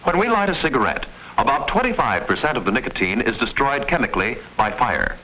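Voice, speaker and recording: A man reading formal, measured, didactic scripted narration in the style of a mid-century educational film. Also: mid-range voice, very animated. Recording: muffled, noisy old film soundtrack.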